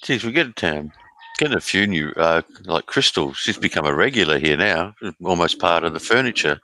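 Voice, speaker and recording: A man hosting a casual live stream chat, relaxed and bantering. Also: sits low, moderate pace, no echo, rough recording.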